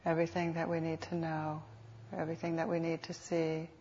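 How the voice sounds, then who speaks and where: Low, even-voiced woman guiding meditation. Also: slight background noise. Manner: unhurried, soothing, with reflective pauses. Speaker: meditation teacher. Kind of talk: guided meditation instruction. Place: meditation center. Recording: dull, lo-fi live talk recording.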